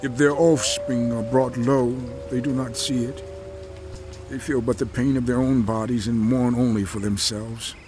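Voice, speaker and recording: A man's voice: medium pitch, fairly even intonation, normal speed, a little mellow, soft background music, natural voice. An actor playing a suffering, mournful man who pleads with God in a solemn, poetic dramatized scripture reading. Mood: mournful, despairing.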